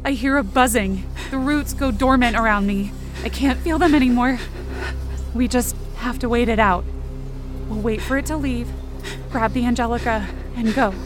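A woman playing a frightened young girl who narrates an audio fiction horror story in a tense, breathy, emotional way. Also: fairly high pitch, normal speed, over music, close to an everyday voice. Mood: tense, hushed. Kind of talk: first-person dramatic audio fiction narration.

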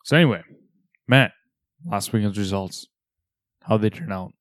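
A man co-hosting a podcast, teasing, his voice slightly raspy and cracking. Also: lowish voice, moderate pace, no echo, clean studio sound. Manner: teasing, wisecracking. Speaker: podcast co-host. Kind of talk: casual podcast banter.

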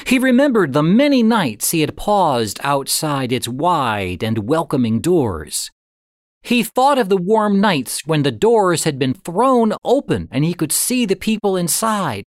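A man narrating a story as an audiobook reader, in an expressive, deliberate manner with dramatic pauses. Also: a little high, no echo, studio quality.

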